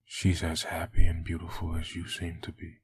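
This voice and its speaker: Man as a deep, husky, brooding hellspawn. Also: completely flat delivery. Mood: mournful. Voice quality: very husky, breathy. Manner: somber, halting. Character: brooding, heartbroken dead soldier turned hellspawn. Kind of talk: comic dub voice acting.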